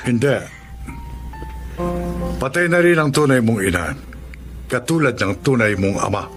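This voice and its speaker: An actor playing an earnest, discreet lawyer in a Filipino radio drama, speaking in a measured and polite way. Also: male voice, mid-range voice, animated, over music, close to an everyday voice. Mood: solemn. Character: earnest, discreet male attorney, loyal and respectful.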